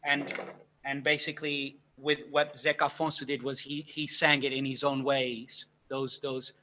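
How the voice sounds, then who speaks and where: Bright-voiced man on a video call. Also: mid-range voice, little pitch movement, poor audio. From Portugal. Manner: halting, restarts words, explains eagerly. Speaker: guest percussionist. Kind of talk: casual livestream interview with demonstrations.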